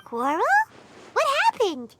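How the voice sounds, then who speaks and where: A woman voicing a tiny, chirpy, childlike fairy companion in a video game, squeaky, curious and candid. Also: wildly swooping pitch, takes it slowly, heavy background noise, full character voice. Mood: puzzled. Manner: speaks of herself in third person, exclaiming.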